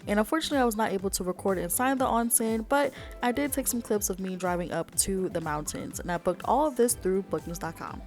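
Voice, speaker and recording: A young woman talks through her solo trip in a relaxed, enthusiastic voice-over, sprinkling in "like" and "um". Soft music plays quietly beneath her. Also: medium pitch, fast, few pauses. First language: not Japanese. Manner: chatty and enthusiastic, with frequent fillers. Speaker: vlogger. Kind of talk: travel vlog voice-over. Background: background music.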